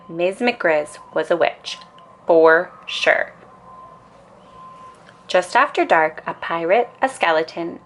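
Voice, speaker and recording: A woman reading a children's story aloud in an expressive bedtime storytelling style. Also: lowish voice, gravelly, slight background noise.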